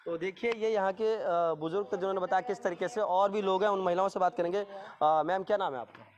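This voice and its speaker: A man's voice: high voice, steady tone, fast talker, lo-fi sound. A man working as a field reporter, asking questions in vox pop street interviews for TV news.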